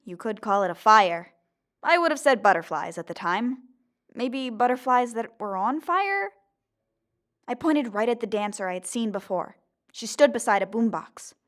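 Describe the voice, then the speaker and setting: A woman's voice: fairly high pitch, animated, moderate pace, a bit bright. A woman playing a determined young zombie girl in an audio drama, earnest and theatrical, both in dialogue and first-person narration. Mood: earnest narrating. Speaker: lead character and narrator.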